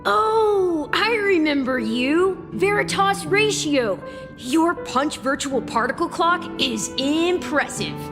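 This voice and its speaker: An actress voicing a haughty, boastful, bossy guard in a video game, with a theatrical delivery. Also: female voice, very high-pitched, expressive, takes it slowly, full character voice. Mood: proud, boastful. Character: haughty female guard boasting of fantasy power armor. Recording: long reverb, music behind.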